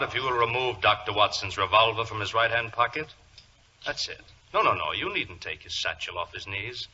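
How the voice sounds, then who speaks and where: A suave criminal mastermind in a 1940s radio play, mock-courteous and gloating. The voice is low and piercingly bright, menacing under its polish, on a noisy old recording. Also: male voice, dull audio. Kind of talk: 1940s radio drama acting.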